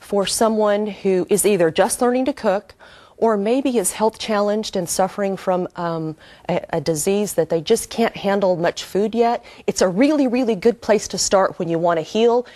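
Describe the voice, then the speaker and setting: A woman's voice: medium pitch, normal speed, a little mellow, no echo. Chatty woman demonstrating a recipe. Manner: chatty, homey, talks viewers through each step. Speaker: cooking show host. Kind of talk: cooking demonstration. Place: kitchen, cooking at the stove. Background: sizzling pan and stirring.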